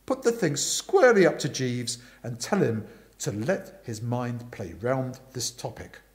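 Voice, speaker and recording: A man voicing a bossy, indignant upper-class aunt in a storybook reading, forceful and emphatic. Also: mid-range voice, expressive, measured pace, voice pushed down, natural voice. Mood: brisk, commanding.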